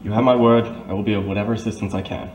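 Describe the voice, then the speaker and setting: A man's voice: on the low side, fairly even intonation, rapid-fire, a little mellow, natural voice. A man playing a formal, courteous military major in an old-time radio drama. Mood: grave, courteous. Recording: echoey, distant, faint noise.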